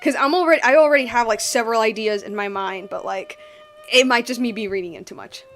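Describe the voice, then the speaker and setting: A woman streaming a video game with casual, slangy, excitable commentary. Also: a little high, quick pace. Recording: music behind the voice. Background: game music.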